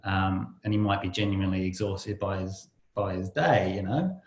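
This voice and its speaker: A man's voice: low voice, moderate pace. A man hosting a casual interview in a rambling, dryly joking way. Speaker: podcast host. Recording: roomy, echoey sound.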